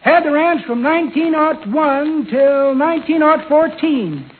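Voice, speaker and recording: A man's voice: extremely high pitch, lively intonation, a little slow. An actor playing a folksy, rambling, cheerful old man in 1940s radio comedy style. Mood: rambling, comic grumbling. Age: older. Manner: folksy, rambling, calls people kids and daughter. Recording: tinny, noisy 1940s radio recording.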